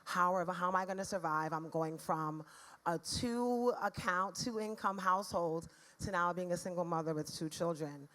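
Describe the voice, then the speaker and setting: A raspy-voiced woman from the Caribbean, telling a personal story as a panelist in an earnest, frank way. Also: on the low side, moderate pace, fairly bright tone. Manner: earnest, frank, speaks of faith.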